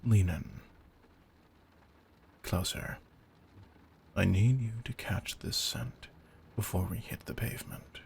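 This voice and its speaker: A man performs a seasoned vampire hunter with a very low, gravelly voice. He speaks slowly and deliberately, commanding and faintly predatory, often sinking into breathy murmurs. It is an intimate audio drama with ambient noise behind him. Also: a little mellow, full character voice. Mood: hushed, intimate. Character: veteran male vampire hunter, intense, commanding, secretly predatory. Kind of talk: slow-burn roleplay audio drama addressed to the listener.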